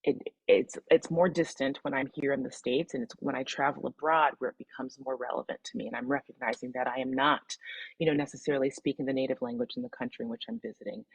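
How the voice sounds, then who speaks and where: Woman, from the Midwest, webinar presenter, low husky voice, encouraging guide, dry close sound. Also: fast talker, dry recording, rough recording. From Midwestern US, Michigan. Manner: encouraging, leads participants through reflection exercises. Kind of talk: interactive online workshop.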